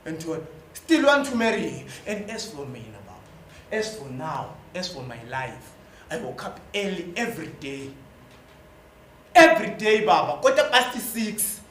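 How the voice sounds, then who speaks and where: Stage drama: male actor as young Zimbabwean minibus hustler, emphatic, weary yet desperate, forceful voice, roomy echo. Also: a little high, sing-song, a little slow. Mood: wistful. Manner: emphatic, repetitive, punctuated with slang. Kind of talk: stage play acting. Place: indoor theatre stage. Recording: echoey stage hall, faint noise.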